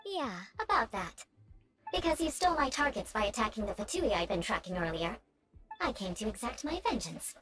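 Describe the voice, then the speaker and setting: A woman's voice: fairly high pitch, very animated, normal speed, over music. A woman voicing a proud, vengeful young female knight in a video game, with haughty, theatrical, formal delivery. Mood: haughty, teasing.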